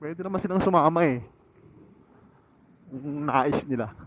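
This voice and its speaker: A man's voice: on the high side, unhurried. A Filipino man casually vlogging to himself while riding, in a laid-back, musing way, speaking into a helmet intercom. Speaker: motorcycle vlogger. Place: outdoors, riding a motorcycle on a city road. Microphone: motorcycle helmet intercom mic. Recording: dull helmet intercom audio, faint road noise.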